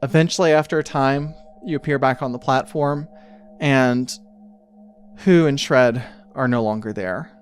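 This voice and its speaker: Man narrating over music, pausing to think. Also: medium pitch. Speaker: game master. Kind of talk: improvised tabletop game narration. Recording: music under the voice. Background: background music.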